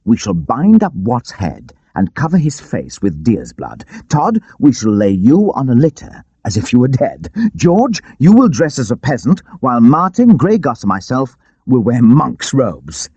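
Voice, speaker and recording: A male narrator voices a bold male outlaw chief in a storybook adventure, pitched well up from a low register and swooping widely. He is gleeful and commanding, rallying his men with declamatory vigour. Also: huge pitch swings, a little slow, dark tone, husky, dry recording, highs cut off, exaggerated voice. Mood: rousing, commanding.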